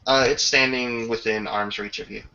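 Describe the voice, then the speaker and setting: Bright, gravelly man running a tabletop game. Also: mid-range voice, steady tone, moderate pace. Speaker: game master of a tabletop roleplaying session. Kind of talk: improvised game narration and rules talk. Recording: dull lo-fi voice chat.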